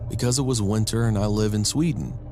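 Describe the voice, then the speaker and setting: A man's voice: on the low side, brisk. A man narrating a first-person scary story from a script. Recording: loud background music. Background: music.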